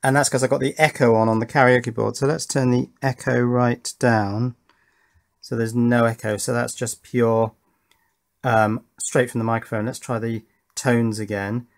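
A man's voice: medium pitch, flat delivery, normal speed, gravelly. A middle-aged man informally narrating an electronics test at his workbench, thinking aloud in a self-deprecating way. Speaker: electronics hobbyist presenter.

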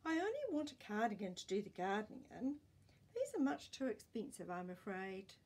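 A woman acts out a fussy old grandpa from a children's storybook. He is polite but plaintive and weary, with a husky voice that rises and falls a lot. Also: medium pitch, huge pitch swings, normal speed, a little mellow. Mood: firm, complaining.

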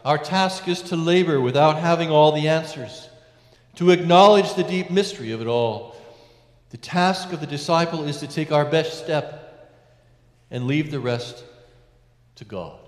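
A middle-aged man, a priest, preaching a sermon from a lectern in a large church, measured with emphatic rises and hushed endings. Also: a little high. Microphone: lectern mic, far camera. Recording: echoey church with long reverb.

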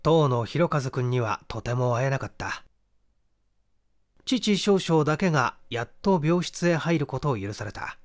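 A man giving a measured, expressive reading of a literary detective story as narrator. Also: medium pitch. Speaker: audiobook reader, stage actor.

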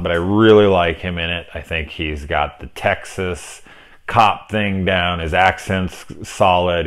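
A man in his thirties giving a casual, profane spoken review of a horror film, seated in a small room lined with movie shelves, talking with many fillers and restarts. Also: low voice.

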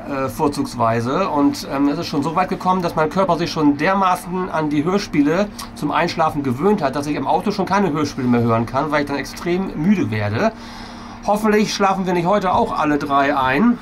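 A man's voice: on the high side, fairly bright tone, a little hiss or hum. A man in his forties with a German accent, vlogging casually to a camera at arm's length in a small restaurant booth. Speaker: family vlogger. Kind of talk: casual vlog chat to camera. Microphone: camera held at arm's length.

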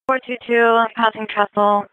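A woman's voice: fairly high pitch, little pitch movement, moderate pace, phone-quality sound. A woman working as a police radio dispatcher, repeating reports in clipped, procedural phrases over a scanner radio. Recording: noisy police scanner radio audio with clicks.

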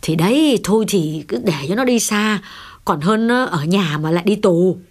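A woman's voice: medium pitch, lively intonation, moderate pace, darkish tone, lightly acted. A woman voicing a fretful middle-aged wife and mother who pleads and sighs in an audio story. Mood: resigned, scoffing. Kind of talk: audio story character dialogue.

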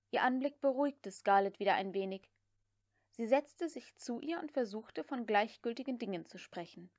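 A woman's voice: mid-range voice, no echo, clean studio sound. A woman reading a novel aloud as scripted audiobook narration.

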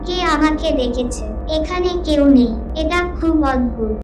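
Woman as young fairy tale princess, very high voice, trusting, formal, gracious, slightly distant, music backing. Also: expressive, moderate pace. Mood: surprised. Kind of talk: children's fairy tale cartoon dub. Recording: roomy, distant voice under loud music.

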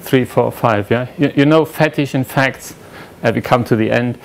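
A man's voice: medium pitch, moderate pace, vocal fry. A man with a German accent giving an academic lecture at a lectern in a lecture room, talking conversationally and questioning the audience. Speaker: conference speaker.

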